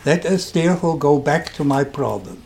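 An older man with a German accent, telling his own story on a podcast in a deliberate, wry and dryly sarcastic way. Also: a little high, lively intonation, gravelly, a little mellow, slight background noise. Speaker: podcast host. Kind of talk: first-person storytelling podcast.

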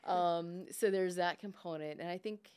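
A woman's voice: lowish voice, normal speed, a little mellow, clean tone. A woman who grew up speaking English and Spanish, talking casually and reflectively as a guest, often tagging thoughts with "like" and "right?". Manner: frequent "like" and "right?" tags. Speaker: podcast guest, business leader.

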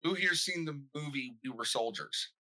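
A man's voice: fairly high pitch, expressive, moderate pace, bright, forceful tone. A man, a military veteran, chatting casually on a podcast and telling stories. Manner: storytelling, steers the conversation.

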